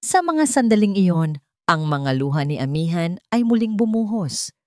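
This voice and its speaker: A woman's voice: fairly low pitch, very animated, moderate pace, mellow, no echo, clean studio sound. A woman with a Filipino accent reading a romance story as a scripted, dramatic narration.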